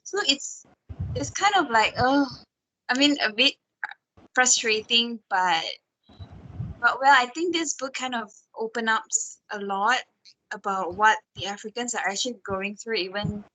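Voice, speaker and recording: Bright-voiced Malaysian woman. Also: on the high side, measured pace, low-quality recording. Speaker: book club participant. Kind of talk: casual discussion, anecdotal.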